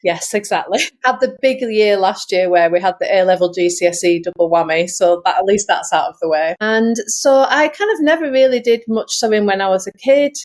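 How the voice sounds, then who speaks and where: A woman's voice: medium pitch, fairly quick, hardly any pauses, a little mellow. A middle-aged woman with an English accent, chatting informally and recounting her experiences as an interview guest. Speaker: guest, sewing teacher. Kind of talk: casual conversational storytelling.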